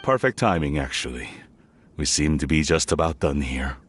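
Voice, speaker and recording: A man playing a reserved, stern middle-aged merchant father in a video game, speaking in a measured, formal way. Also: very deep voice, animated, slight background noise. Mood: subdued. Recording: music behind the voice.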